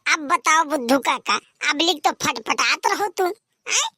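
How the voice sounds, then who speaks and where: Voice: high voice, lively intonation, measured pace, sharp tone, no room sound, clean studio sound, full character voice. A performer voicing a cheeky, scheming village lad in an Awadhi comedy cartoon, with an Awadhi accent and a teasing, argumentative manner. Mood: teasing, wheedling.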